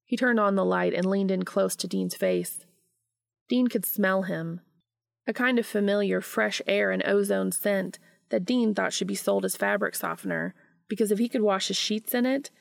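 Woman reading a story out loud, gravelly fry, very dry sound. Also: medium pitch, normal speed, no echo. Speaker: audiobook reader of fan fiction. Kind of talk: scripted story narration.

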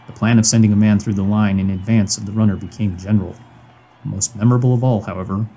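A man voices an old-time football chronicler for an audio drama, reciting in formal, literary phrases. The delivery is quick, in a lowish, mellow and husky voice. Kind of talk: recited period sports writing.

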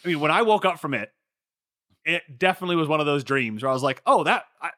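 A young man co-hosting a podcast, telling stories in a casual, animated way with lots of filler words. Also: fairly high pitch, fast talker, no echo, studio quality. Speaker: podcast co-host. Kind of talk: casual chat.

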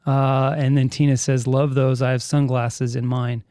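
A man's voice: medium pitch, level tone, normal speed, dark tone, dry recording, studio quality. A man hosting a casual live podcast, rambling and self-correcting as he reads listener chat comments aloud. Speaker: podcast host. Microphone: studio mic with headphones.

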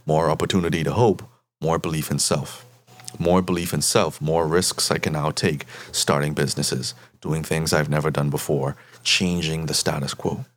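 A man from the Bahamas, a therapist guest, explaining at length in a measured way and often checking in with "right?". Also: rumbling low, fairly even intonation. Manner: measured, tags points with "right?".

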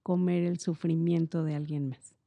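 A woman's voice: deep voice, little pitch movement, moderate pace, vocal fry, mellow, dry recording, dull audio. A middle-aged woman with a Mexican accent, explaining things in a reflective, meandering and informal conversational way. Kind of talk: casual conversational explanation on a podcast.

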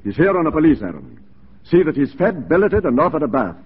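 An actor playing a brisk, formal mounted police inspector, clipped and commanding, in a 1940s radio drama. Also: male voice, fairly high pitch, very animated, slightly rough, heavily acted. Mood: brisk, courteous. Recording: dull 1940s radio recording.

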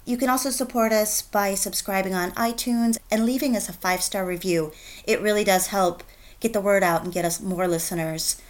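A woman with a mid-pitched voice talks straight to podcast listeners. She is a voice actor giving a casual announcement in everyday, conversational speech. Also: fairly even intonation, moderate pace.

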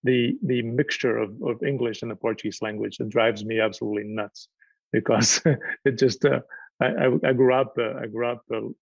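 A man with a gravelly, husky voice speaks with passion on a panel, often repeating himself as he finds his words. The sound is dry and dull. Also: mid-range voice, steady tone, normal speed, dry recording. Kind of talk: panel discussion.

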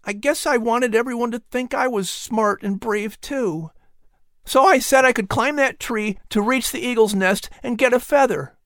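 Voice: mid-range voice, normal speed, fairly bright tone, no echo, studio quality, voice pushed up, close to an everyday voice. An audiobook narrator voicing an earnest, eager young boy in colonial times. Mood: confessing.

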